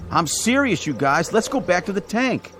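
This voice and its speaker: An actor playing an earnest, easily spooked young soldier in a radio drama, with an excitable manner. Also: male voice, sits high, moderate pace, slight background noise. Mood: nervous.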